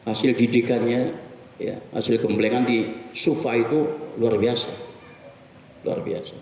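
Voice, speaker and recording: Middle-aged male preacher, chatty and lively, asking rhetorical questions; far off in a booming, echoey mosque hall. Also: slight background noise. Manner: conversational, animated, rhetorical questions. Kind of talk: weekly mosque sermon.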